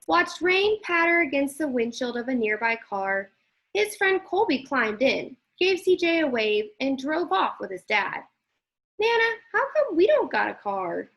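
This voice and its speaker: Casting: female children's storyteller, high voice, very lively expressive picture-book narration. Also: a little slow, poor audio. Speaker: teacher reading aloud.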